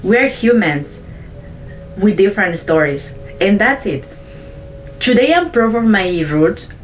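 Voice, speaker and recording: A woman's voice: medium pitch, lively intonation, slow, soft background music, poor audio. Earnest woman, Mayan-speaking vlogger. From Chiapas, Mexico. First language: Chong (a Mayan language). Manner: earnest, simple sentences, careful non-native English. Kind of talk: reflective personal narration.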